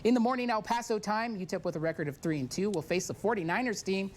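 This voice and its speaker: A man anchoring a local TV sports show, hoarse and losing his voice, reading scores quickly. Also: high-pitched, slight background noise.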